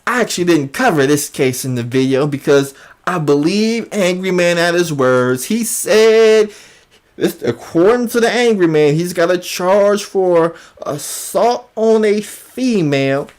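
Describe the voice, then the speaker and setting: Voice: mid-range voice, lively intonation, a little slow, darkish tone. An online commentator delivering a mocking call-out, emphatic and incredulous, often repeating phrases for effect. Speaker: YouTube commentator.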